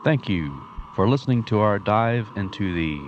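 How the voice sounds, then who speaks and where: A man's voice: fairly low pitch, expressive, normal speed. A man announcing a scripted outro and disclaimer for an audio comedy show. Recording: dull, slightly noisy lo-fi audio.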